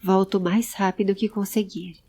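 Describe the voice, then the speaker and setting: Woman voicing a serious, mellow male adventurer. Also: mid-range voice, moderate pace, lightly acted. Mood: steady, reassuring. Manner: grave, calm, instructing. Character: serious, pensive male adventurer, protective and controlled. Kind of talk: audio drama character acting.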